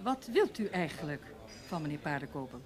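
A woman's voice: low-pitched, very animated, fairly quick, crowd noise. An actress playing an eager, evasive woman journalist in a Dutch radio play, hesitant and flustered. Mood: defensive, evasive.

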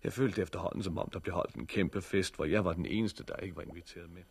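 A man's voice: deep voice, vocal fry, background noise. An actor playing an irritable, sceptical man who also narrates in a 1960s radio drama. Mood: reflective. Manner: sceptical, dryly sarcastic. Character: irritable male plant worker and narrator, hospital patient with bandaged eyes.

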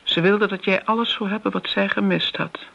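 An actress playing a guarded elderly woman in a radio drama, measured and dryly evasive. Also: mid-range voice, steady tone, vocal fry, a bit bright. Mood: dryly affectionate. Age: older. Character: guarded elderly woman, evasive about an old secret love. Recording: dull, lo-fi radio drama recording.

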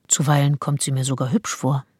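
An actress playing a self-assured, calculating nineteenth-century seducer who narrates her diary in a radio play, wry and deliberate. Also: very deep voice, little pitch movement, darkish tone, slightly rough, dry recording, clean studio sound. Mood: resolute. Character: self-assured, calculating female seducer and diarist, early 1800s.

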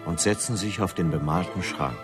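A man's voice: sits low, normal speed, music in the background. A man narrating a radio drama as a scripted storyteller.

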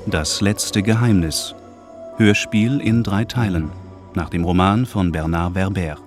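A man's voice: sits low, unhurried. A man reading out scripted titles and credits as a radio play announcer. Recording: loud music behind the voice. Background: loud music.

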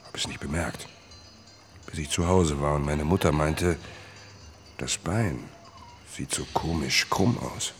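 A man's voice: very deep voice, measured pace, pauses often, soft background music. An actor playing a laconic, melancholy man who narrates tersely and matter-of-factly in a radio play. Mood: plain, rueful. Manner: terse, plain, matter-of-fact.

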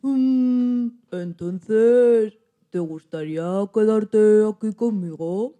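A woman playing a tender old male wolf in a children's radio-play storybook, with drawn-out, expressive delivery. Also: mid-range voice. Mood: tender, hopeful. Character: old male wolf, tender, gruff but soft-hearted.

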